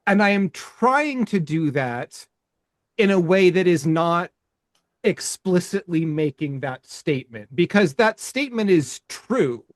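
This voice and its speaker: A man talking reflectively on a podcast in a halting, thoughtful way, choosing his words with care. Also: sits high, sing-song, dry recording, studio quality. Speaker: podcast host and artist.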